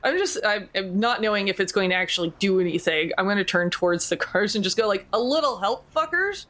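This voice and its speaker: A woman's voice: mid-range voice, animated, fast, a bit bright. A woman speaking casually as a player in a tabletop role-playing game. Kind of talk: casual chat.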